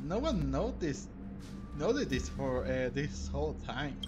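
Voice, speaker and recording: A male gamer with a fairly high, very animated voice narrates his playthrough. He reads on-screen text in a halting, stumbling way and reacts to it, with game music clearly behind him. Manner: halting, stumbling read-aloud. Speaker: gaming streamer. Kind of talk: let's-play, reading game text aloud with reactions. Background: video game music.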